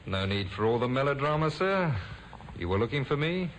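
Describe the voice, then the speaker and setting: Suave, overly polite male suspect with a lively, sliding pitch, as an actor plays him, old radio recording with faint hiss. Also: brisk, close to an everyday voice. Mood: mocking. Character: smooth evasive male murder suspect. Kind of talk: 1950s radio drama acting.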